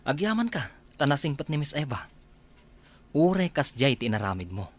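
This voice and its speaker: A man's voice: mid-range voice, big pitch swings, normal speed. Coaxing, easily flustered man, acted out. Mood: scolding, explaining. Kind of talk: radio drama acting.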